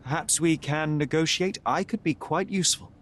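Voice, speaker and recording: A man's voice: on the high side, moderate pace, fairly bright tone, slight background noise, natural voice. An actor playing a young male detective, speaking in a precise, composed and formal manner. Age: young adult.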